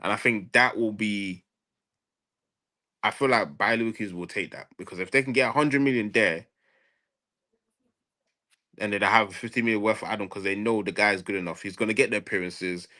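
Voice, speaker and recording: A man's voice: on the low side, brisk, long pauses, pushed and bright. A man in a casual football transfer debate, speaking emphatically and repeating phrases for stress. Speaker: football fan show panelist.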